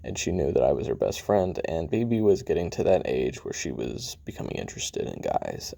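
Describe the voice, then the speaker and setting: Man playing a very deep, gravelly, weary assassin. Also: warm tone, exaggerated voice. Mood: rueful, confiding. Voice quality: very deep, gravelly, husky, often breathy. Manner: halting, confiding, dropping into near-whispers. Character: weary, bitter, regretful male assassin recounting his youth. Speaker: voice actor in an audio roleplay. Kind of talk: confessional taped memoir monologue, audio drama.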